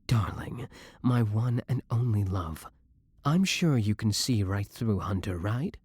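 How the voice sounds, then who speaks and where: A man's voice: on the low side, expressive, takes it slowly, darkish tone, husky, no echo, studio quality, full character voice. A young man acting in an audio roleplay as a clingy, obsessive boyfriend, theatrically doting and smug, scornful of his rival.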